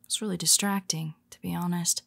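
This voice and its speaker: A woman playing a weary, teasing female superhero who speaks softly to her nemesis, often dropping to a whisper. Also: on the low side, steady tone, brisk, creaky, no room sound, exaggerated voice. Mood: wry teasing. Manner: teasing, soft-spoken, slips into whispers. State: tired. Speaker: audio roleplay performer. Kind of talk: intimate audio roleplay.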